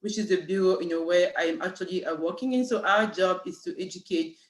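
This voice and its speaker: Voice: mid-range voice, fast talker. A speaker from Cameroon with a French accent, a city human rights official giving an informational panel talk and leaning heavily on "you know" fillers and "right?" tags. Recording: echoey room.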